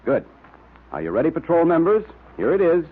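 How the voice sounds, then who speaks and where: An American man announcing and pitching in 1930s radio style. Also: wildly swooping pitch, brisk, slightly rough. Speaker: announcer and sponsor spokesman. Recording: tinny old radio recording.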